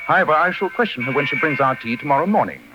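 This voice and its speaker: An actor playing a courteous, precise English master detective in 1940s radio drama style. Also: male voice, fairly high pitch, animated, racing, close to an everyday voice. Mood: thoughtful, brisk. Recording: dull 1940s radio recording with music.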